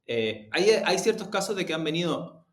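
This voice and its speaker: A man with a Chilean accent answering interview questions in a measured, deliberate, halting way. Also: fairly high pitch, animated. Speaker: interview guest, audio production executive. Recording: roomy, echoey sound.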